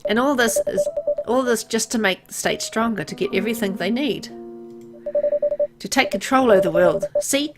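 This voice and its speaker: A woman's voice: on the high side, animated, moderate pace, lightly acted. Woman reading as a grim immortal man, music blaring. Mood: bitter, explaining. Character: embittered immortal mysterious man, grim and world-weary. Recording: loud music behind voice.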